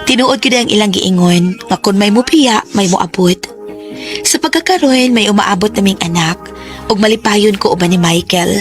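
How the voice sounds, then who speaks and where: A young woman in a radio play who has been hurt before and speaks warily of love, played by a woman. Her voice sits at a middle pitch. Faint music plays under her lines. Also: moderate pace, lightly acted. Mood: wistful. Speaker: radio drama actress. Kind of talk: Cebuano radio drama acting. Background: soft background music.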